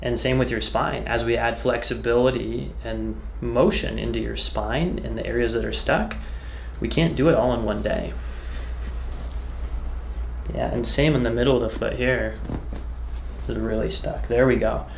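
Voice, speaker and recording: A man's voice: mid-range voice, fairly even intonation, moderate pace, rough recording. A man working as a chiropractor, explaining treatment to a patient in a reassuring, conversational way as he works. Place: indoor treatment room. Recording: clear background noise, treatment room ambience.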